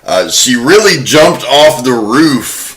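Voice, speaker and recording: Bright-voiced man on a casual podcast. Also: medium pitch, big pitch swings, slow pace. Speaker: podcast co-host. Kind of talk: casual panel discussion.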